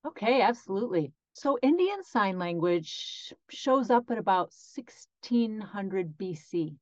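A woman's voice: medium pitch, sing-song, unhurried, darkish tone, no echo. Woman interpreter, dry muffled sound. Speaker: sign language interpreter voicing the guest. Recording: dry, slightly dull lo-fi audio.

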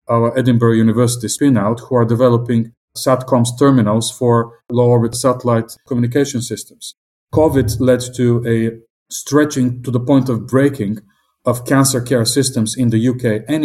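A middle-aged man from Southern Africa with a foreign accent speaks at a middle pitch. He answers as an investment executive, measured and upbeat, pausing on fillers and small restarts. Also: steady tone, a little mellow. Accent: non-British English accent. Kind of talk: business interview answers.

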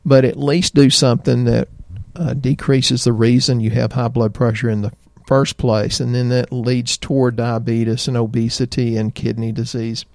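A middle-aged man with a Southern American accent, a doctor chatting in a folksy, rambling way about health, his voice slightly hoarse. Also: medium pitch, flat delivery, moderate pace, a little mellow, no room sound. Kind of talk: health talk show chat.

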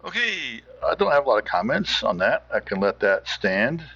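An American man giving halting, unscripted commentary as a podcast host. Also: mid-range voice, very animated, gravelly, sharp tone, slightly rough, lo-fi sound.

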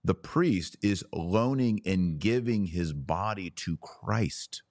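A man hosting a podcast, delivering an explanatory religious monologue with deliberate, emphatic phrasing and stressed key words. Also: deep voice, animated, darkish tone, no echo, studio quality. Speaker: podcast host.

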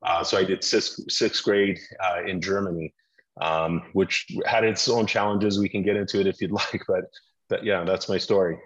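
A middle-aged man from Iran, an orthopedic surgeon, telling his story as a podcast guest in a measured way with frequent restarts. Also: deep voice, steady tone, breathy edge, muffled recording.

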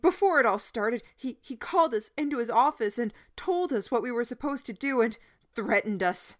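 A woman voicing a desperate teenage boy who shouts and pleads with a crowd. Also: fairly even intonation, takes it slowly, gravelly, exaggerated voice. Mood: pleading. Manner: pleading, shouting, high and loud.

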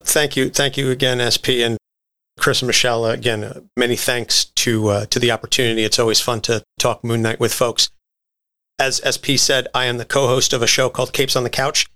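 A man's voice: medium pitch, little pitch movement, a little fast, bright, forceful tone. A man speaking casually as a podcast guest, plugging a show.

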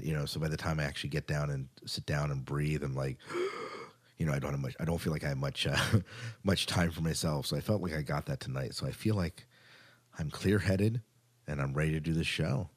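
A man with an American accent and a notably low voice hosts a podcast. He talks informally and chattily, sometimes doubling back to repeat a phrase. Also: brisk. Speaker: podcast host. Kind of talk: casual podcast chat and show rundown.

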